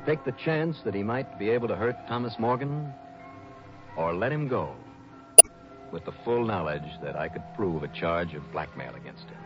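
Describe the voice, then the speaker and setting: An actor playing a tough, wisecracking investigator, slangy and punchy, in 1960s radio detective drama style. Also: male voice, on the low side, lively intonation, moderate pace, music in the background, natural voice. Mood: brisk, wry. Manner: hard-boiled, slangy, punchy. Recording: muffled, hissy vintage radio recording.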